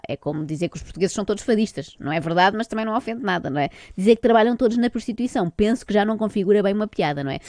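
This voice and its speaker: A woman doing ironic, deadpan commentary on TV debate clips as a radio comedy host. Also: mid-range voice, no room sound, studio quality. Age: older. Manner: deadpan, ironic, rapid-fire.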